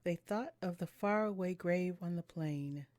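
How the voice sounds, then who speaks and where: A woman's voice: on the low side, measured pace, darkish tone, dry recording. A woman giving casual let's-play commentary and reading game text aloud in her own voice, with music behind her. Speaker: game streamer reading visual novel dialogue aloud.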